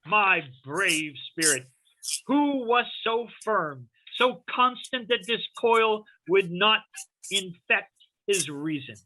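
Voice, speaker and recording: A woman's voice: medium pitch, animated, bright, forceful tone, heavily acted, rough recording. A woman playing a commanding, imperious sorcerer in a Shakespeare reading, declaiming theatrically to a servant spirit. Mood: approving, concerned. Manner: slow, stern, theatrical declamation.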